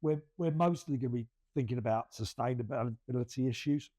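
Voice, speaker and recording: A man's voice: medium pitch, brisk, warm tone, no echo, studio quality. A middle-aged man, co-hosting a casual podcast and rambling through many restarts with self-deprecating laughs. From England. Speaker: podcast co-host. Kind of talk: casual chat.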